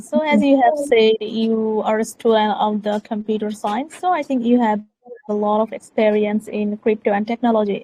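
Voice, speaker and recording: A woman's voice: medium pitch, warm tone, no room sound, poor audio. A woman hosting a community question session on a video call, repeating simple thanks and phrases. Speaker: community AMA host.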